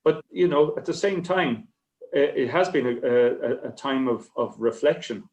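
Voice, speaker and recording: A man, a writer on a panel, talking casually and reflectively in a halting, rambling, understated way. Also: medium pitch, expressive, darkish tone. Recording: slightly dull, lo-fi connection.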